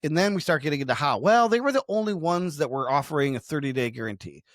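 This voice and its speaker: A man's voice: fairly high pitch, expressive, fast talker, no echo, studio quality. A man explaining ideas conversationally as a business podcast co-host, emphatic and slipping into imagined customer quotes.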